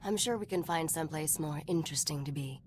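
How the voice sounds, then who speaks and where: A woman's voice: deep voice, quick pace, slight background noise, natural voice. A woman voice-acting a cool, knowing smuggler informant in a video game, wry and composed as she tells stories. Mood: wry, teasing.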